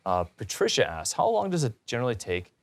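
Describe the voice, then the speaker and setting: A man's voice: mid-range voice, wildly swooping pitch, fast. A man hosting a conversational interview show, reading questions and adding his own commentary. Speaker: talk show host.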